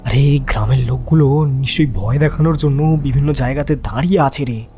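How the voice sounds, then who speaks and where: A man's voice: medium pitch, brisk, mellow, a little hiss or hum, natural voice. A man voicing an alert, bold young man on night watch in a horror audio story, muttering urgently and exasperated with his friends. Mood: suspicious.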